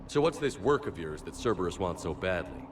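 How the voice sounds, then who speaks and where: A man playing a decisive, steady military commander in a sci-fi video game, curt and businesslike. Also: low voice, brisk, background noise. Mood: calm, businesslike.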